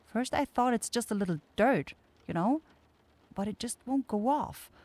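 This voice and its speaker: Woman acting a flustered, high-voiced forest witch. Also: animated, moderate pace, darkish tone, no echo. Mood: concerned, teasing. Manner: flustered, hesitant, blurting. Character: flustered young woman forest witch, caring, easily rattled. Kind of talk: ASMR fantasy roleplay acting.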